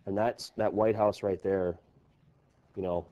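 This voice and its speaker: A man with a low-pitched, relaxed voice anchors live local news. He talks off the cuff, halting as he looks for the right words. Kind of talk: live unscripted flood coverage commentary.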